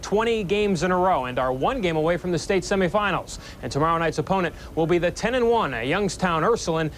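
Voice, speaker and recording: A man's voice: sits high, expressive, a little fast, slight background noise. A man reporting from a sports desk, delivering a scripted preview.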